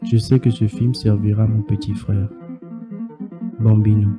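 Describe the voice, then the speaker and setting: A man reading intimate documentary narration in a solemn, measured way. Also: on the low side, little pitch movement. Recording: loud acoustic guitar music behind.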